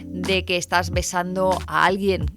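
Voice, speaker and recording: A woman's voice: fairly low pitch, level tone, measured pace. A woman narrating a light scripted list video in a playful, wry, questioning manner. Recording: music behind the voice. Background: music.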